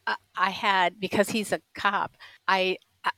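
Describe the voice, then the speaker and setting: A woman's voice: medium pitch, little pitch movement, a little slow, bright, forceful tone, no echo. An older woman, an author, talking about her writing in a casual, chatty interview, often restarting her sentences. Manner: chatty, with frequent restarts and laughs. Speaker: author guest.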